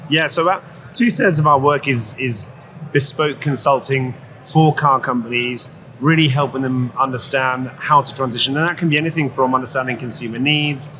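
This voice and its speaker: A man's voice: medium pitch, bright, forceful tone. Articulate male executive at a trade show. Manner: measured, articulate. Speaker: company CEO, guest. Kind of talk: explanatory interview answers. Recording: live event with clear background noise. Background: show-floor ambience.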